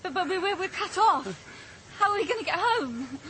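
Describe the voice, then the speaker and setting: An anxious young woman in a radio drama. Her voice is high and rises and falls a lot, with a wide-eyed, questioning manner. There is faint noise behind her. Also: expressive, normal speed, a bit bright. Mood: alarmed.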